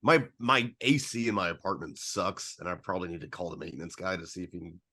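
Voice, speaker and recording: A man with a fairly low voice talks casually as a podcast host. He is earnest and rambling, often breaking off and restarting a thought as he goes. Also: fast talker, bright, forceful tone. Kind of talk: casual film discussion.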